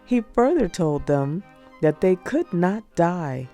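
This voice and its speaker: A woman narrating religious prose as an audiobook, in a measured, solemn read-aloud delivery. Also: lowish voice, very animated, warm tone. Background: music.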